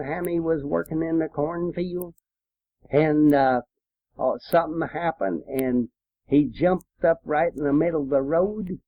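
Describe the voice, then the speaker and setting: An elderly man with a rural American accent, reminiscing in a folksy, unhurried way with trailing pauses, as if in a spoken letter. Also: on the high side, no room sound. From Appalachian hill country, United States. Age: elderly, 70s or older. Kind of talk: rambling personal reminiscence recorded as an audio letter. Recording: dull, lo-fi home tape recording.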